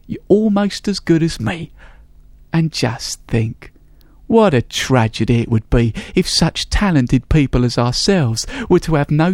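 A storyteller voicing a boastful male master thief who gets squeaky and breathless when worked up. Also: darkish tone, no echo, studio quality.

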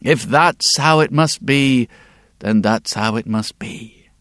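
A man voicing a kindly old king who speaks slowly and low, with weary resignation. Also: a bit bright, no room sound, clean studio sound. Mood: resigned.

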